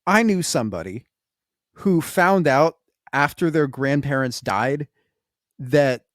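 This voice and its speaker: A man's voice: fairly high pitch, expressive, takes it slowly, no room sound, studio quality. A man co-hosting a podcast, telling stories in a loose, conversational way with plenty of fillers and casual swearing. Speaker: podcast co-host.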